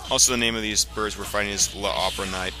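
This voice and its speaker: A man giving casual, rambling video game commentary, explaining things in a halting way as he plays. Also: on the low side, fairly even intonation, bright, forceful tone, low-quality recording. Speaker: let's play commentator. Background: video game music.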